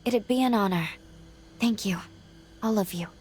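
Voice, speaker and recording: An actress plays a young woman bound by duty in a video game cutscene. Her voice is soft and breathy at a medium pitch, with earnest, formal phrasing. Music plays clearly behind her. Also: normal speed, close to an everyday voice. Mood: warm, earnest. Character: gentle, dutiful young woman made to be a sacrificial vessel.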